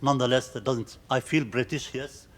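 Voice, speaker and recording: A man speaking up from the audience in a discussion, emphatic and a little halting. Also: medium pitch, fairly even intonation. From Palestinian roots. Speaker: audience member. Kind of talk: giving opinions in an audience discussion.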